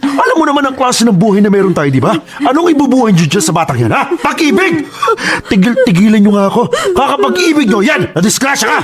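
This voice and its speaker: A domineering Filipino father in a radio drama, enraged and confrontational. His high voice swings widely and races along as he scolds and fires repeated questions. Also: very animated, fast, a crowd in the background. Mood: scornful, angry. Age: middle-aged. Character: furious, domineering Filipino father of a pregnant daughter. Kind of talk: Tagalog radio drama acting.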